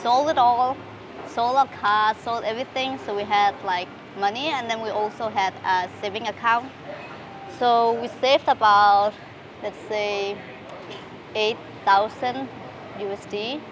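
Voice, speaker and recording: Hesitant woman, noisy street. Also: fairly high pitch. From Denmark. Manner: hesitant, many fillers and restarts. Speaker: interview guest. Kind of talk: casual interview answers. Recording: street recording with clear background noise.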